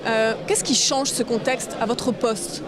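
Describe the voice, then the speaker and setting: Lady tv reporter asking questions, pretty high voice, lots of people talking behind. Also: a little slow, a crowd in the background. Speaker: local television interviewer. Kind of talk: conversational broadcast interview questions.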